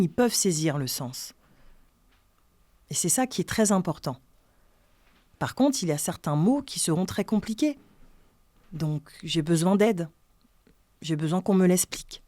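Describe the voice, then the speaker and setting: A woman with a low, mellow voice speaks in a calm, earnest and measured way. Her voice sits close to the mic in a dry room with no echo, as in a documentary interview. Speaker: sign-language singer interviewed.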